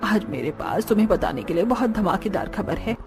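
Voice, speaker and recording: A woman's voice: mid-range voice, sing-song, fairly quick, music in the background, voice pushed down. A woman voicing an older village mother-in-law in a cartoon, sociable, gossipy and used to directing others. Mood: gossipy, cheerful. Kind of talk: Hindi cartoon story dialogue.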